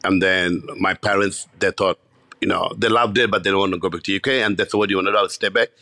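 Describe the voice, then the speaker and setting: A Bangladeshi chef in his fifties, telling his story in an interview, animated and full of restarts. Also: low voice, a little fast, vocal fry, dry recording. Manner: animated, many restarts and repeats.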